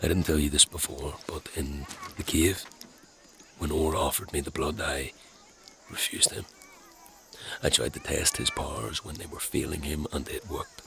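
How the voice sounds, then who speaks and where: A man playing a troubled, wry scavenger in a dark fantasy audio drama, gravelly and husky, with a hesitant, halting delivery. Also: rumbling low, fairly even intonation, a little hiss or hum, heavily acted. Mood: brooding confession. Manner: hesitant, halting, dryly sarcastic. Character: gruff, troubled young male scavenger with inherited powers, wry.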